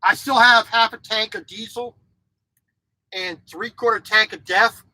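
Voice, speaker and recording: A man's voice: high-pitched, steady tone, unhurried, sharp tone, no echo, rough recording. A man in his forties, a truck driver vlogging from his sleeper cab, speaking bluntly in a casual monologue with frequent restarts. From grew up in Japan as a military child. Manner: blunt, repeats words and restarts, opinionated. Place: truck sleeper cab, small indoor space.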